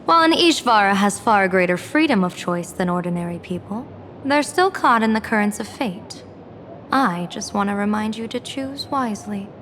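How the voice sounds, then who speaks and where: A woman playing an enigmatic, playful seer strategist in a video game, speaking in a measured, musing, theatrical way with pauses. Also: medium pitch, expressive, fairly bright tone, a little hiss or hum, close to an everyday voice. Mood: lightly amused. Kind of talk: English video game voice acting. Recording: music under the voice.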